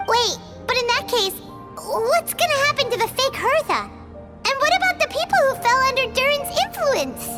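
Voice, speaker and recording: A woman voicing a tiny childlike girl companion in a video game, curious, chirpy and excitable, with a squeaky voice. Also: moderate pace. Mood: surprised, concerned. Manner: perky, refers to self in third person. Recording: loud music behind.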